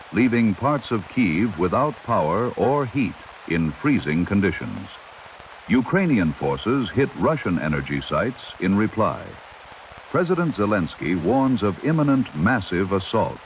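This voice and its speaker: A man reads the news as a 1930s radio announcer, with a low voice that rises and falls in lively swings. His delivery is formal and dramatic. The sound is dull and old-fashioned, with faint noise. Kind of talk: scripted 1930s radio newscast.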